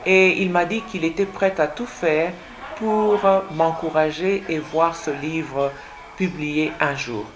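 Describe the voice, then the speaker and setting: A woman's voice: deep voice, steady tone, takes it slowly, slight background noise. A woman born in Congo whose first language is French, answering radio interview questions as an author, hesitant with many fillers and repeated words. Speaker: guest author.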